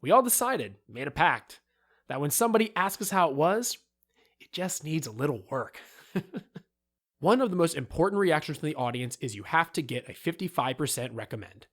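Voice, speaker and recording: A man plays a male film director telling war stories in interview style. His pitch runs a little high and swings widely, and he leans on key words with dramatic pauses. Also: very animated. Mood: animated, rueful. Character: animated male film director recalling a failed preview. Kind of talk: acted reading of interview quotes.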